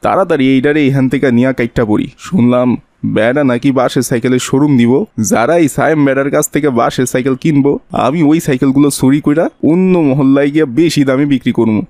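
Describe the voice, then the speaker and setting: A man's voice: mid-range voice, a little fast, darkish tone, no room sound, close to an everyday voice. A man voicing a sly, greedy, scheming thief in a cartoon, with a colloquial Bangladeshi Bengali accent. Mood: sly, gloating. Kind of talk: cartoon voice acting.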